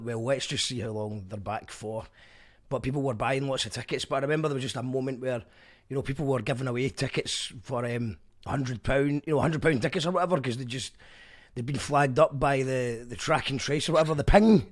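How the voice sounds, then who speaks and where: A man hosting a radio chat show, friendly and halting, who reads out listener comments between questions. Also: medium pitch. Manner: friendly, halting with fillers and repeats. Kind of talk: casual interview chat, reading listener comments.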